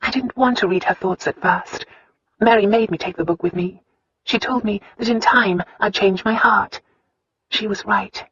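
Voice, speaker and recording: A woman voicing an anxious, loving young wife in a pleading, emotional, breathy tone. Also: mid-range voice, moderate pace, fairly bright tone, voice pushed up. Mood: earnest. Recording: dull, dry audiobook recording.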